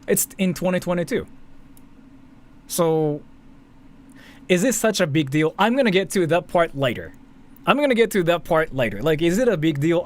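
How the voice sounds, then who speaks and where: A man from Japan with a Japanese accent doing casual, rambling live commentary, often repeating and interrupting himself. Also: a little high. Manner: rambling, repetitive, self-interrupting, swears casually. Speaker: streamer, commentary host. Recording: faint background noise.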